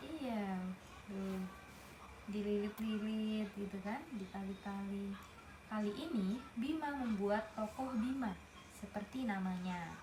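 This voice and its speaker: A woman reads a children's picture book aloud, breaking off now and then to chat and explain things to a young listener. Her voice sits in the middle of the range. The room is roomy and echoey, with clear background noise. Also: medium pitch, slow. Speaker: storybook reader. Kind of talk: reading a picture book aloud with chatty asides.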